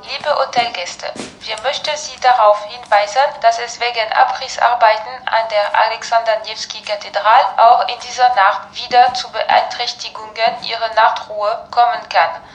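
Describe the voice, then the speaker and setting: A woman's voice: fairly high pitch, steady tone, moderate pace, few pauses, natural voice. A woman playing a hotel announcer, reading a formal, polite scripted notice to guests. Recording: echoey announcement over music.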